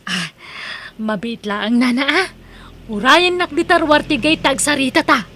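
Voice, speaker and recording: A woman's voice: high-pitched, measured pace, pushed and bright, exaggerated voice. A woman with an Ilocano accent from the Philippines playing a chatty, exclamatory lady house seller in a radio-style comedy drama. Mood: curious. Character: chatty lady homeowner eager to sell her house.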